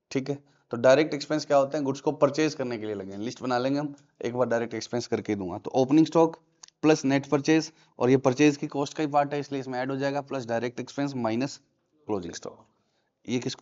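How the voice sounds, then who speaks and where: A man's voice: medium pitch, darkish tone. A male commerce teacher, lecturing in a classroom and quizzing his students with repeated questions. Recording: dull lo-fi classroom recording.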